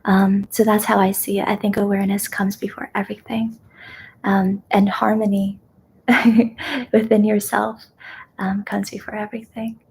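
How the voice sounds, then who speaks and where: A young woman born in China, whose first language is Kazakh, hosting a live ASMR question-and-answer chat in a gentle, soft-spoken way that slips into whispers. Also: medium pitch, flat delivery, moderate pace, a little hiss or hum, low-quality recording. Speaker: ASMR host.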